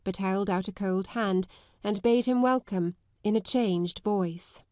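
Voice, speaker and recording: Measured woman narrator, dry close sound. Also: mid-range voice, mellow, no room sound. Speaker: audiobook narrator. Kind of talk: scripted literary narration.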